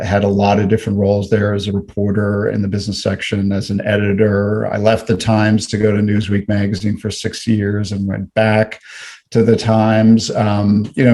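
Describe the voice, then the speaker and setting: A man's voice: lowish voice, mellow. A middle-aged man from Canada, a guest in an interview, talking reflectively with frequent fillers and restarts. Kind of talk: conversational interview.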